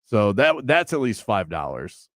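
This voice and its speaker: A man's voice: on the low side, sing-song, measured pace, fairly bright tone, no room sound. A man hosting a sports radio show from the studio, chatting casually and teasing his producer. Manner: chatty, teasing, thinks aloud. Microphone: studio mic.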